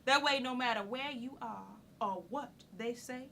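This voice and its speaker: A woman's voice: a little high, moderate pace, sharp tone, slight background noise. An actress playing a proud, outspoken, worldly radical woman from a small Southern town, telling her story emphatically in a stage monologue. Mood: worldly, assured. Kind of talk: theatrical monologue.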